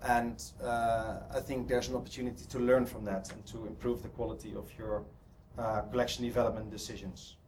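A man's voice: mid-range voice, level tone, a little fast, darkish tone, a little hiss or hum. A man from the Netherlands with a Dutch accent, giving a hesitant conference presentation full of ums and restarts. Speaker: conference speaker. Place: conference hall.

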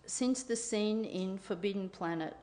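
A woman reading out an academic paper at a conference in a formal, measured way, then answering questions with effusive thanks. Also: mid-range voice, darkish tone. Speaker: conference speaker.

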